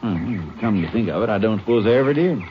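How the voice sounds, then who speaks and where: A man's voice: lowish voice, lively intonation, quick pace, close to an everyday voice. A man acting a big, good-natured county sheriff in a radio western, with a folksy manner. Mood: puzzled, obliging. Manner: folksy, faintly indignant. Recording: muffled, hissy old-time radio recording.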